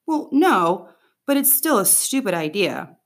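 A woman's voice: medium pitch, very animated, measured pace. A woman reading the part of a grumpy, doubtful fifth-grade boy in a children's audiobook. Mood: dismissive, doubtful.

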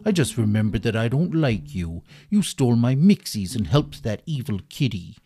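A man voicing a gleefully malicious, vengeful hairy ape villain in a children's story, with a theatrical, drawn-out delivery. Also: medium pitch, sing-song, mellow, lightly acted. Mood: menacing, vengeful.

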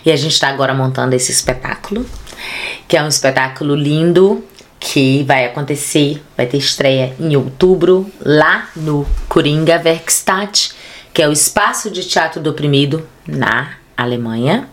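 A Brazilian woman in her thirties with a Brazilian Portuguese accent, chatting animatedly as a podcast guest. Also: sits low. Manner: animated, fast bursts of speech. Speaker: podcast guest, theater artist. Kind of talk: casual conversation.

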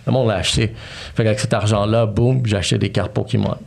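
A man's voice: on the low side, steady tone, fast talker, gravelly, a little mellow. A man in his thirties with a Quebec French accent, telling his life story as a podcast guest, freely mixing English slang into his French. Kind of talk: casual storytelling interview.

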